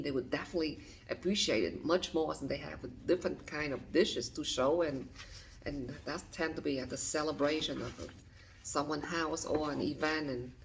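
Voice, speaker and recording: A woman in her fifties from Vietnam, speaking, answering a list of questions softly and hesitantly, often dropping to a whisper. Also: low-pitched, fairly even intonation, brisk, vocal fry, slight background noise, rough recording. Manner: soft, hesitant, with frequent restarts and whispered phrases. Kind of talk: reading and answering interview questions.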